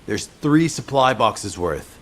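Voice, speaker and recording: An actor playing an earnest field commander in a video game, serious, brisk and commanding. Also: male voice, mid-range voice, animated. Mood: urgent. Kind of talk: dramatic video game cutscene acting.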